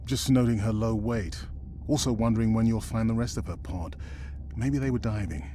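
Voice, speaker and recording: A man's voice: on the low side, normal speed, mellow, a little hiss or hum, close to an everyday voice. A man playing a dry, cautious and caring male science colleague in a naturalistic video game performance. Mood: dry teasing.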